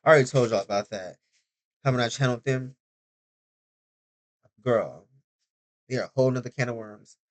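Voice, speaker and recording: A man's voice: medium pitch, normal speed, pauses often. Rambling chatty young man. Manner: rambling, self-interrupting, chatty. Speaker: vlogger. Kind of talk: casual video diary.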